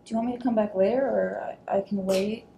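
Reserved, stubborn mother, read by a woman. Naturalistic drama, terse delivery, mellow voice, roomy sound. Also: mid-range voice, fairly even intonation, fast talker, vocal fry, natural voice. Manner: understated, terse. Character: estranged mother, reserved, guarded, quietly stubborn. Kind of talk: naturalistic dramatic scene reading. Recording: echoey room, faint noise.

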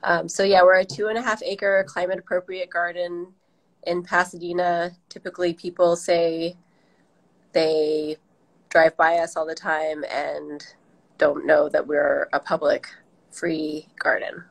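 Low-voiced middle-aged American woman. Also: flat delivery, measured pace, vocal fry, poor audio. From Southern California, US. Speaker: interview guest, garden director. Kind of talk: reflective interview answers. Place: indoor room at home, video call. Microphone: video call, device at arm's length.

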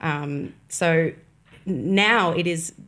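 A young woman answering interview questions in a studio, measured and explanatory with frequent pauses. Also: fairly low pitch, fairly bright tone. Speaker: guest on church music. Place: studio set with armchairs. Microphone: boom-mounted podcast mic.

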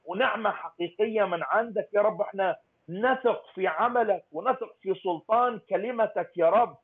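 A man's voice: sits high, moderate pace. A man leading a fervent intercessory prayer, pleading and naming people one by one. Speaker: prayer leader. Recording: tinny phone-like line.

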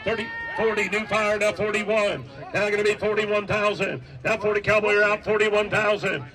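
A man working as an auctioneer, calling bids in a rapid, rhythmic chant at an outdoor estate sale. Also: high-pitched, steady tone, pushed and bright. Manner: rhythmic repetitive bid calling. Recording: distant voice outdoors.

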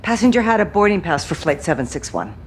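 Very low-voiced female CIA officer. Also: sing-song, slight background noise. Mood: grave. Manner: brisk, matter-of-fact. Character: shrewd, no-nonsense female CIA officer, cool and firm. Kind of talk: video game cinematic acting. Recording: loud ominous music behind.

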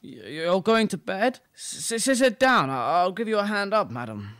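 A man's voice: high-pitched, very animated, a bit bright, full character voice. A young man playing a deferential, drunken butler who stammers and halts, in an amateur radio play. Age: young adult. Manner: slurred, stuttering, halting.

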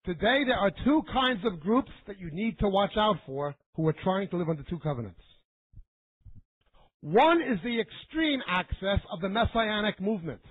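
A man's voice: high-pitched, big pitch swings, moderate pace, breathy edge. A middle-aged man teaching the Bible in an emphatic, didactic sermon style, repeating phrases for weight. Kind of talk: sermon-like teaching lecture to a live audience. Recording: narrow, phone-like band.

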